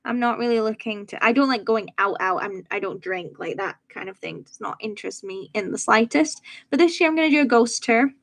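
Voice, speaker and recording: Young woman host, soft Edinburgh accent, slightly higher voice, rambling chatty livestream talk with fillers. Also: fairly quick. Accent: soft Edinburgh Scottish, somewhat posh-sounding. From Edinburgh, Scotland. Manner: chatty, rambling, laughs easily, many "um"s and "like"s. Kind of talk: casual chat while crafting.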